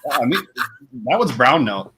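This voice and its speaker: A man's voice: medium pitch, very animated, moderate pace, bright, forceful tone. A man in a band, a guest in a casual chat, talking hesitantly with crude humor.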